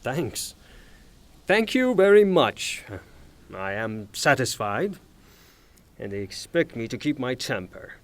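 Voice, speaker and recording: A man acts an irascible middle-aged landowner and retired officer for a theatrical radio play. His voice sits on the high side and moves in very lively swings, emphatic and blustering. The sound is close and dry. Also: no room sound. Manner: emphatic, formal address breaking into exasperated outbursts. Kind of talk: theatrical audio drama acting.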